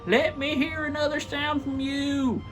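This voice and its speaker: Woman acting a high-pitched grumpy old miser. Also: little pitch movement, unhurried, faint music behind. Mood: scornful. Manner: curt, growling, dismissive. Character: miserly grumpy old man, scornful and cold. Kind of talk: theatrical storybook reading.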